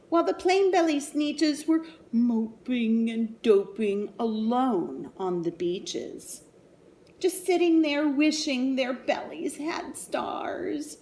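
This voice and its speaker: Female children's story reader: expressive, unhurried, higher-pitched, chatty asides, slight background noise. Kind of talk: animated picture-book read-aloud to children.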